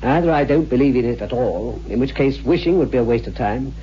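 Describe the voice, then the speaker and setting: An actor playing a worried, testy and defeated husband in a 1960s radio horror drama. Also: male voice, mid-range voice, animated, fairly quick, lightly acted. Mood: wary. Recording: muffled old radio recording, faint hum.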